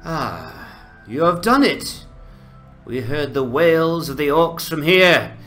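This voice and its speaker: A man's voice: on the high side, big pitch swings, takes it slowly, a bit bright, faint music behind, pitched above the performer's own voice, heavily acted. A man voicing a noble, grateful elf captain in a formal, stately manner for a fantasy game dramatization. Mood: grateful, solemn.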